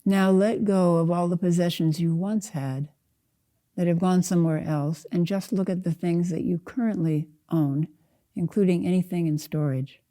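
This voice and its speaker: An older woman guides a meditation in a low, very soft-edged and dark voice. She is calm and measured, setting out short phrases one by one without hurry. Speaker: Zen teacher leading a guided meditation.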